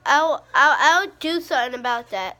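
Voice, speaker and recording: A woman hosting a casual reaction video, talking haltingly and often repeating her words. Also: high voice, lively intonation, bright, forceful tone. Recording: faint background noise.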